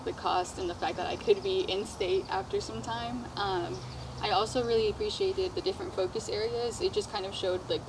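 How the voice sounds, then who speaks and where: A young woman from Texas speaking in a measured way, with many pauses on "um", as a veterinary student. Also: mid-range voice. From Texas, United States. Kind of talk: casual group interview. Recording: clear background noise.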